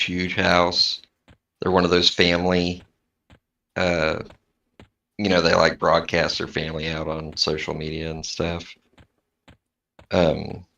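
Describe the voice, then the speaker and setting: A man chatting casually on a stream, talkative and quick to laugh. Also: sits low, creaky, a bit bright, dull audio. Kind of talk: casual chat.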